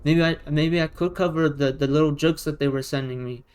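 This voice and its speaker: A man with a fairly high, clean, smooth voice gives combative online commentary. He is a non-native speaker with a Romanian or Russian first language. He sounds exasperated and presses his points with emphatic rhetorical questions. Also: fairly even intonation, fairly quick, a little mellow. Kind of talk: argumentative response commentary.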